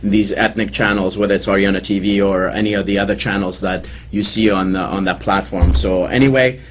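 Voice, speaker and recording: A man hosting a TV interview show, introducing his guest in a hesitant way with many fillers and a husky voice. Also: low voice, steady tone, brisk, a little hiss or hum. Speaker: TV show host. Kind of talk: host introduction. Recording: dull lo-fi TV recording.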